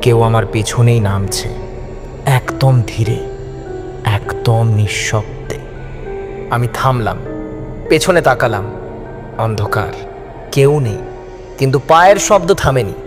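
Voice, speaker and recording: A man's voice: medium pitch, slow pace, long pauses. A man narrating a first-person horror story in a suspenseful, theatrical style. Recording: music behind the voice. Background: background music.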